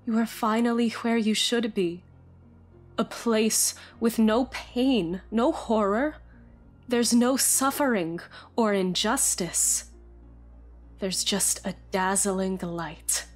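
A woman playing a bitter, sorrowful vampire in an audio drama, delivering a measured, solemn monologue. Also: mid-range voice, natural voice. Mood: solemn, soothing. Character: bitter, sorrowful female vampire, former agent. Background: faint music.

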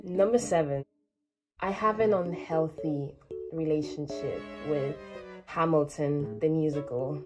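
A woman's voice: on the low side, darkish tone. A teenage girl born in Spain, talking casually to camera from her small bedroom, with joking asides to herself. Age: teens. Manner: chatty, self-mocking asides. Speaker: vlogger. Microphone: phone or webcam at arm's length.

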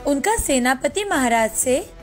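A woman reading scripted narration for an animated children's tale. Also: sits high, a little slow, soft background music.

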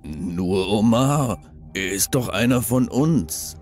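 A man's voice: lowish voice, expressive, slow pace, music in the background. An actor playing a big, loyal male troll guard in a fantasy audio drama, with a weighty delivery. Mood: confused, alarmed. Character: big deep-voiced male troll guard, loyal husband, plain-spoken.

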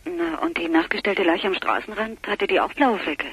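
An actress playing a sharp-witted, teasing lover of a policeman in a radio crime drama, speaking through a telephone filter. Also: medium pitch, sing-song, moderate pace, creaky, slightly rough, no room sound, heavily acted. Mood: skeptical, teasing. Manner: teasing, ironic. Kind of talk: naturalistic German radio crime drama acting. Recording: muffled telephone line with noise.

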